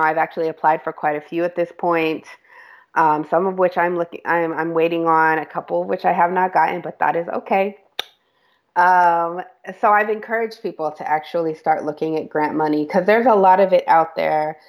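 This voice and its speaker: A woman's voice: lowish voice, steady tone, a little fast. A woman creative director speaking as a podcast guest, candid and chatty, laughing now and then and correcting herself as she goes. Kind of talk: casual interview talk.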